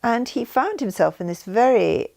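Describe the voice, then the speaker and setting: A woman's voice: a little high, animated, normal speed, vocal fry, a little mellow. A woman giving an informal explanatory talk with anecdotes, rambling and often breaking off to rephrase mid-sentence. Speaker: speaker sharing personal beliefs.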